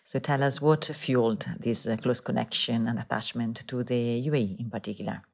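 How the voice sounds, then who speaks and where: A woman's voice: a bass voice, steady tone, brisk, vocal fry, husky. A woman hosting a podcast, delivering a formal scripted introduction and then asking interview questions. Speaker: podcast host.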